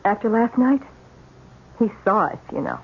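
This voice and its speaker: Strained, guilt-ridden nurse, tinny sound. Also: female voice, a little high, very animated, moderate pace, gravelly, breathy edge, full character voice. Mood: guilty, strained. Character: guilt-stricken nurse, strained and troubled wife. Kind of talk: 1970s radio drama acting. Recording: thin, noisy old radio.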